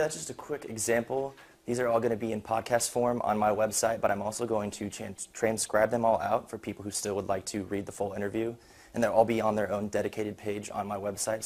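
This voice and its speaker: Fast-talking young man, lowish voice. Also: darkish tone. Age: young adult. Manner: casual, conversational. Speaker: university student presenter. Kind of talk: informal slide presentation.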